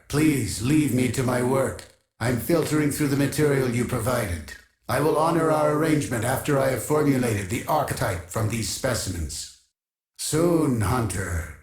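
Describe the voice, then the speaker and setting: An actor playing an obsessive, imperious keeper of a digital archive in a video game, speaking in a formal, pompous, measured way. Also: male voice, mid-range voice, roomy sound, lightly acted. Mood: stern, demanding. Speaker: video game quest-giving character. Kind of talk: scripted video game character dialogue.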